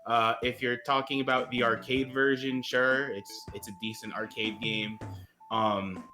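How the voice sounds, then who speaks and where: A man with an American accent, a guest game master explaining settings casually, relaxed and chuckly. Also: medium pitch, a little slow, soft background music. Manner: relaxed, chuckling, hesitant with fillers.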